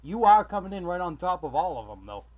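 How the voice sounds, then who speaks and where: A man's voice: high voice, very animated, fast. A man from South Carolina chatting casually as a CB radio operator, in a friendly manner full of call numbers and radio jargon. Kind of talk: casual CB radio skip chat. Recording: muffled, band-limited CB radio recording.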